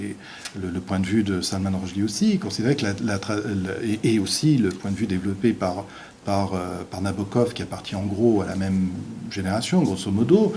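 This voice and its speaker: Guy lecturing at a uni, portuguese native, keeps restarting and going euh, lowish voice. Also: darkish tone, a little hiss or hum. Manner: halting, many restarts and filler sounds. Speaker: visiting university lecturer. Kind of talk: academic lecture. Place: university lecture room.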